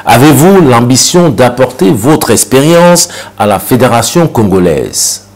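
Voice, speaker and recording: A man's voice: medium pitch, sing-song, a little slow, a little mellow. A man hosting a special interview show, asking formal questions in an announcer-like way. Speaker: TV show host.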